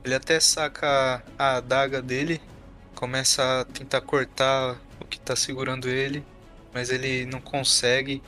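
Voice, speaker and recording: A man's voice: medium pitch, bright, forceful tone. A man with a Brazilian accent improvising his game character's actions in an explanatory way, often repeating himself. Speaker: tabletop game player.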